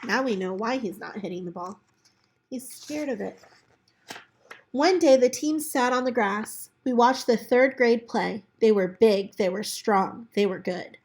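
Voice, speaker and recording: A woman reading a children's book aloud in an expressive way, as a storyteller talking to children. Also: fairly high pitch, a little slow. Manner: animated character voices for dialogue, chatty asides to children.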